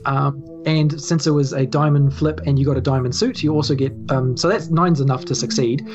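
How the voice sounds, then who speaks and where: A woman's voice: a bass voice, fairly even intonation, fairly quick. A woman with a New Zealand accent casually narrating a tabletop game as game master, informal and hesitant. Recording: slightly dull, music bed behind. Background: background music.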